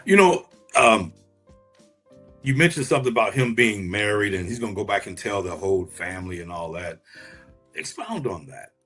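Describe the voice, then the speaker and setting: A man hosting a conversational interview, asking questions deliberately and often rephrasing them. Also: fairly low pitch, lively intonation, a bit bright, breathy edge, faint music behind. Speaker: podcast host, interviewer.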